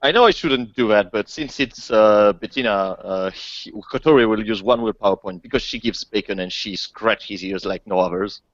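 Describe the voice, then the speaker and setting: A man's voice: fairly low pitch, moderate pace, hardly any pauses, no room sound. A man playing a tabletop roleplaying game, jokily narrating his character in casual chat, on a dull recording. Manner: playful, jokey. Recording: dull, close lo-fi microphone sound.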